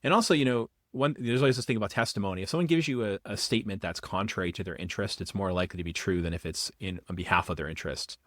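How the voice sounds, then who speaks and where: A man, a professor from the Boston area, explaining ideas quickly in a casual podcast interview, often restarting his sentences. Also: low voice, a bit bright, no echo, studio quality. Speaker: guest, political philosophy professor.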